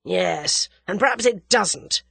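A woman reader plays a bullying businessman in an audiobook story. He is suspicious and quick to anger, growling his demands in a husky voice. The delivery swings widely in pitch, and the sound is close and dry. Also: animated, fairly bright tone, no room sound. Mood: suspicious, sharp. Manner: snarling, growling, curt demands. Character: angry, bullying, suspicious businessman, blustering villain.

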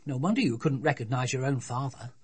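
An adult storybook reader voicing a brisk, sensible, unfazed mother. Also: mid-range voice, animated, breathy edge. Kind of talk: children's storybook reading.

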